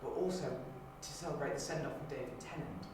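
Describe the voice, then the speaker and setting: A man answering interview questions in casual, halting speech, thinking aloud as he goes. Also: medium pitch, animated. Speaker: auditionee and fan interviewee. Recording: very echoey, distant, noisy room.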